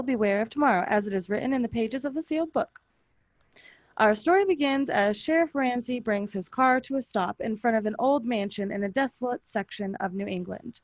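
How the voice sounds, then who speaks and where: A woman playing a solemn, ominous narrator in the style of 1940s radio drama, slow and theatrical. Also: fairly high pitch, no echo, lightly acted.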